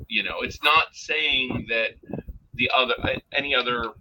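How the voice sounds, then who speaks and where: A man's voice: medium pitch, fairly even intonation, normal speed. Male panel guest, mid-range voice, emphatic, casual opinionated chat, noisy call recording.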